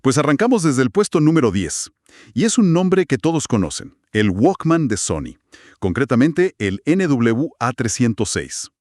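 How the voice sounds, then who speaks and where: A man's voice: medium pitch, very animated, moderate pace, darkish tone, no echo, clean studio sound. A man co-hosting a conversational explainer, speaking in an emphatic, explanatory way. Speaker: co-host of an audio gear review discussion. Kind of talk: conversational podcast-style explainer.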